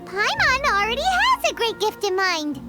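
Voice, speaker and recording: A woman voicing a tiny, cheeky, childlike fairy companion in an animated fantasy game. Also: normal speed, loud music behind the voice, exaggerated voice. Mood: curious, chirpy. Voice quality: squeaky, childlike. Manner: exclamatory, refers to herself by name.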